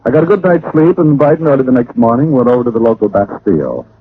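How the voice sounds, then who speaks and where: A man's voice: mid-range voice, expressive, fast, slightly rough, dry recording. An American actor playing a witty, sardonic private detective who narrates his case in old-time radio style. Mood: brisk narration. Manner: wry, sardonic. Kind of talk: 1940s-50s radio detective acting and narration. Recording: muffled, hissy vintage radio recording.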